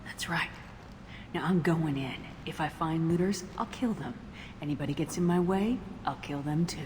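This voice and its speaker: Game heroine female actor: determined, intimidating soldier, deep female register, no-nonsense, slight noise and hall reverb. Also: moderate pace, a little mellow, natural voice. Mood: threatening. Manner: firm, direct, no-nonsense.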